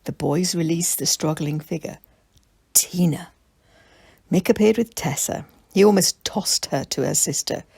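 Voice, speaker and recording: A woman reads a story for children in a lowish, husky voice. It is scripted storybook narration, captured close and dry. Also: a little slow, mellow, dry recording. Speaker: author reading own children's story.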